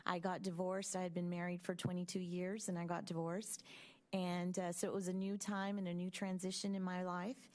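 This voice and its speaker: A woman in her fifties speaking at a school board meeting, telling a personal story in a measured, reflective way with frequent ums. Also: lowish voice, level tone, darkish tone. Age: 50s.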